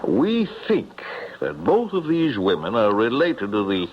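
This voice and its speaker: An actor playing a shrewd, wryly amused police captain in a radio drama, speaking deliberately with measured hesitations. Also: male voice, mid-range voice, huge pitch swings. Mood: grave, confiding. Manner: deliberate, wry, hesitant pauses. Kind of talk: old-time radio drama acting. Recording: muffled, noisy radio drama recording.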